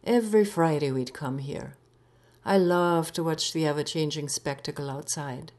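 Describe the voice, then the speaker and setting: A woman reading her own short story aloud in a measured, expressive storytelling manner with deliberate pauses. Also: low-pitched. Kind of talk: literary short story narration.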